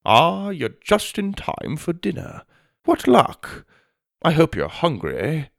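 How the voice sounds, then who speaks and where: A man voicing a snobbish, fancy headmaster in a children's storybook, with a haughty, precise, drawn-out delivery. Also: on the high side, huge pitch swings, vocal fry, no echo, studio quality, voice pushed up, full character voice. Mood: smug, polite. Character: snobbish, fancy male headmaster of a manners school.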